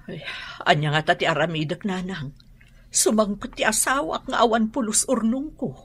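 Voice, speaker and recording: A woman's voice: mid-range voice, moderate pace, slightly rough. An actress playing a fretful, weary middle-aged mother in a radio drama. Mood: distressed. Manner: worried, fretful. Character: worried, weary middle-aged mother fretting over money.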